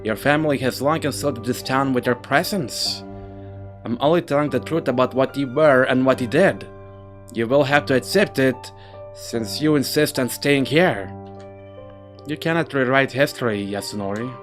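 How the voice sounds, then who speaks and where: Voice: soft background music, natural voice. A reader doing a cold haughty clan heir. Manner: low, slow, deadpan and condescending. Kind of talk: visual novel voice reading.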